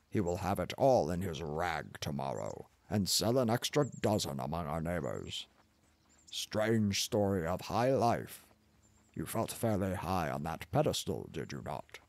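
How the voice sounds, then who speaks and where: A man voicing a big, gruff, overbearing professor and husband in an audiobook, with a rumbling voice and a mocking manner. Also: sing-song, no room sound, exaggerated voice. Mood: sardonic, contemptuous. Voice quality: deep rumbling, gravelly. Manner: slow, mocking, commanding.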